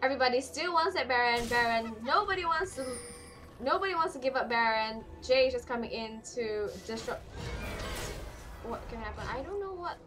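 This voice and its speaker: A woman doing solo live play-by-play of a game, hoarse and losing her voice, with quick calls that climb in the fights. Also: expressive. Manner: rapid play-by-play that jumps higher in fights. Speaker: esports caster. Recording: clear music behind the voice.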